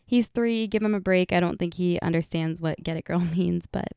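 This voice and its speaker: A woman's voice: fairly low pitch, normal speed, creaky, darkish tone, smooth, dry recording. A young woman vlogging in casual chat, her voice scratchy and tired from singing, rambling with fillers and giggles.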